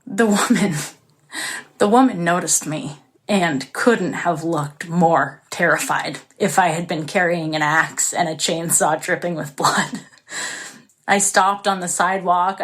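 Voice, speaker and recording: An actress playing a warm-hearted, excitable young woman who tells her own story in an expressive, confiding dramatic monologue. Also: fairly low pitch. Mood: confiding, wondering. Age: young adult. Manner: expressive, confiding, breaks into small laughs. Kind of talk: dramatic first-person monologue.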